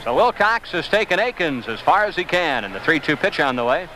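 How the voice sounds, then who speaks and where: A man's voice: on the high side, very animated, a little fast, over music, poor audio. An American man calling live baseball play-by-play in short, projected phrases. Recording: loud background noise, old game broadcast. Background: loud ambient noise.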